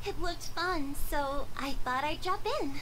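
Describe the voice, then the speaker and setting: Gentle travelling poetess, high voice. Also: female voice, a little slow, noisy background. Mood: friendly, modest. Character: gentle, wistful travelling woman poet. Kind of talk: English video game dialogue acting.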